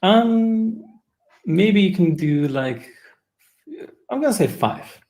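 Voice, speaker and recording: A man from Russia chatting on a video call, relaxed and hesitant, often trailing off. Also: sits high, takes it slowly. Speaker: video chat stranger. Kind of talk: casual video chat.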